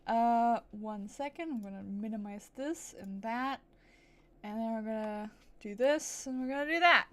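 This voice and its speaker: A woman's voice: fairly high pitch, measured pace, fairly bright tone. A woman livestreaming a game with casual, playful chatter and silly little asides. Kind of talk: casual gameplay stream chat.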